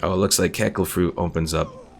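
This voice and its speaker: A man's voice: sits low, fairly even intonation, a little fast, gravelly, a little hiss or hum. A man giving casual game commentary as a streamer, thinking aloud and reading game text out. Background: game music.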